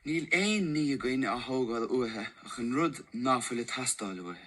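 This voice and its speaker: A man's voice: medium pitch, animated, moderate pace, bright, forceful tone. An actor playing a married male schoolteacher in a secret love affair, speaking in an earnest, confiding way in an audio drama. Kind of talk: radio drama acting.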